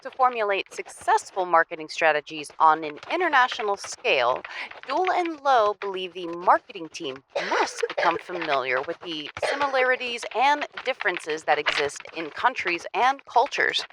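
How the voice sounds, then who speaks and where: A woman's voice: mid-range voice, sing-song, moderate pace, a bit bright, slight background noise, poor audio. A woman playing a strict, formal university marketing lecturer in an audio drama, speaking with stern precision.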